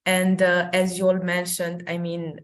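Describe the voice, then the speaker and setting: A woman's voice: fairly low pitch, monotone, a little slow. A young woman from Romania, speaking English as a second language, giving an informal career talk over a video call with many fillers and restarts. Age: young adult. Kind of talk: informal presentation with slides.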